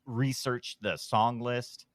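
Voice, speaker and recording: A middle-aged man with a deep voice, telling a story casually on a podcast. He rambles and pokes fun at himself in an easygoing way. The sound is dry and close, with no room in it. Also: slow, no echo. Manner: relaxed, rambling, self-deprecating. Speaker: podcast host, guest.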